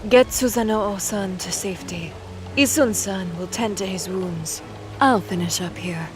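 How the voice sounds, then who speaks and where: A woman's voice: medium pitch, music in the background, lightly acted. Woman playing an elegant, cold dragon warrior. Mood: commanding. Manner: elegant, composed, measured. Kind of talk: audio drama acting.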